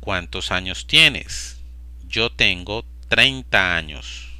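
A man slowly and deliberately repeating short phrases for language learners. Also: sits low, lively intonation, sharp tone, smooth, no room sound. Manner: slow, deliberate, evenly paced. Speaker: Spanish teacher. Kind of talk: slow repetition drill for learners.

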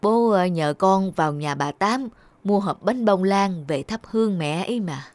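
An actor playing a devoted, gentle old father in a cartoon, speaking tenderly and hesitantly. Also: medium pitch, expressive, measured pace, dry recording, lightly acted. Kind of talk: Vietnamese cartoon dubbing.

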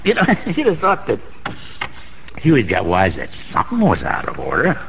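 An actor playing a boozy, sentimental, boastful small-time gambler in a radio drama, rambling in old-fashioned street slang with a gravelly voice. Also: male voice, lowish voice, huge pitch swings, moderate pace, fairly bright tone, exaggerated voice. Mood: boastful, fond. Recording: faint background noise.

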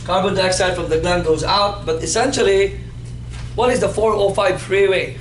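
A man in his fifties teaching anatomy in a classroom, playful and animated, quizzing his students as he goes. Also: high voice, moderate pace. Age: 50s. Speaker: anatomy instructor. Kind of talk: classroom lecture with call-and-response. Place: classroom in front of a whiteboard. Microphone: far camera. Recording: echoey classroom with background noise.

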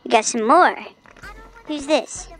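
A goofy game streamer with a very high, womanlike voice-changed sound. The livestream chatter is exclamatory and comes slowly, in short bursts. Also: a bit bright, faint music behind. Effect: high-pitched voice changer.

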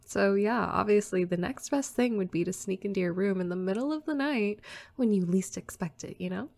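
A woman playing a playful, teasing young girl next door in an intimate, casual roleplay audio, soft-spoken and giggly. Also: mid-range voice, fairly quick, gravelly, natural voice.

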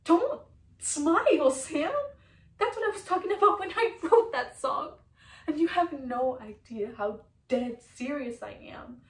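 A woman's voice: sits high, lively intonation, a little slow, echoey room, distant mic, voice pushed up. A woman performing a dramatic stage monologue as a bitter, despairing musician, with intense, pained earnestness. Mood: angry, serious.

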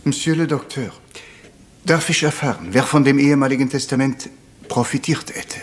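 An actor playing a proud, brooding middle-aged Belgian detective in a retro radio play, formal and courteous, with French phrases mixed in. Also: male voice, mid-range voice, normal speed, lightly acted. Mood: probing, formal.

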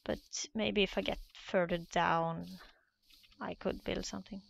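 A woman's voice: mid-range voice, fairly even intonation, unhurried, creaky. A Norwegian woman with a Norwegian accent, hesitantly commentating her own Minecraft play in a casual, nervous way. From Norway. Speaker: Minecraft let's player.

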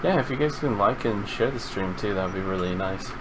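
A man's voice: fairly low pitch, animated, a little fast. A middle-aged man giving casual live commentary as he plays a video game, speaking into a close mic indoors. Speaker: live streamer. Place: indoor room at a gaming setup. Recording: noisy with game sound behind. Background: video game vehicle and gunfire sounds.

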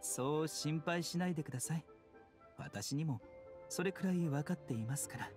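A man playing a calm, polite, gently unyielding physician in a video game, with formal speech. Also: a little high, normal speed, over music, lightly acted. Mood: gently firm. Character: composed, courteous male physician, gently unyielding. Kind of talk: Japanese game voice acting.

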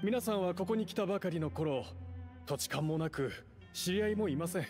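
Polite, higher-voiced club leader. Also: male voice, lively intonation, brisk, darkish tone, faint music behind. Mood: respectful. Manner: deferential, formal, earnest. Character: polite, earnest male leader of a reform club. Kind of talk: Japanese anime game voice acting.

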